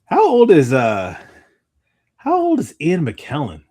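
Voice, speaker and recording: A man's voice: fairly high pitch, huge pitch swings, takes it slowly, darkish tone. A man chatting casually about movies as a podcast co-host, rambling and often trailing off mid-thought.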